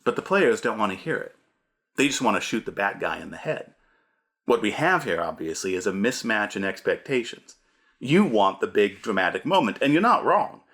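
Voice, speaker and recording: A man presents a scripted video essay on running tabletop games. His pitch is very lively and moves widely for emphasis. The delivery is punchy and a little theatrical, like a game master instructing other game masters. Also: moderate pace. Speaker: host, game master giving advice.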